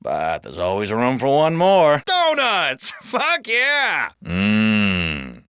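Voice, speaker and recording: A man with an American accent playing a wisecracking, foul-mouthed city cop in video game banter, colloquial and expressive. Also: mid-range voice, very slow, clean tone, no echo, studio quality, heavily acted. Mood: gleeful. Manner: expressive, colloquial, comically exaggerated.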